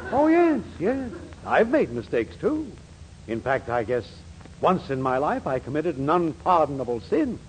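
An actor playing an exasperated, sarcastic boss with theatrical indignation in a 1940s radio comedy. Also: male voice, fairly high pitch, very animated, measured pace. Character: exasperated, sarcastic male boss berating his hapless employee. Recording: noisy 1940s radio recording.

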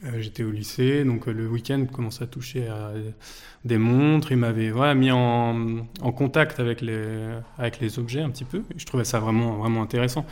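A man's voice: medium pitch, steady tone, brisk, a little mellow. A man in his twenties, a guest in a casual interview, talking with many fillers and restarts. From France. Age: 20s.

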